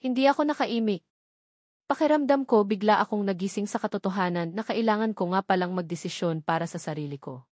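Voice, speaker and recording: A woman's voice: medium pitch, brisk, darkish tone, no echo, studio quality. A woman reading a romance novel aloud as a scripted first-person narration. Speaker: audiobook narrator.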